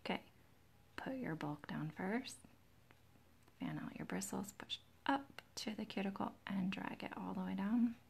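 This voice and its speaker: A woman hosting a live nail tutorial in a chatty, relaxed way, with a soft, breathy, soothing voice that often drops near a whisper, close to the microphone. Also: medium pitch, unhurried, long pauses. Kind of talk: chatty live-stream demonstration, greeting viewers. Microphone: close to the camera's microphone.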